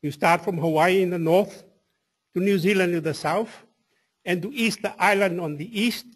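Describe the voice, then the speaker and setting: A man from Tonga with a Tongan accent and a husky voice, giving a public presentation. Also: high-pitched, moderate pace. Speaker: presenter at a community meeting.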